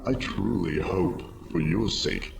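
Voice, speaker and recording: A man's voice: low voice, lively intonation, slow pace, gravelly, roomy sound, noisy background, heavily acted. An actor voicing a gloomy male alien envoy in a video game, dour and grudgingly formal. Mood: dour, weary. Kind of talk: video game character acting.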